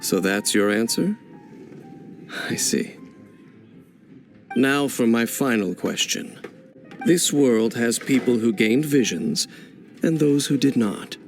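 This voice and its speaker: An actor playing a solemn, enigmatic wandering swordsman in a fantasy game, speaking in a grave, measured, cryptic way. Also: mid-range voice, animated, faint music behind. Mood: probing, measured. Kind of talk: scripted fantasy game voice acting.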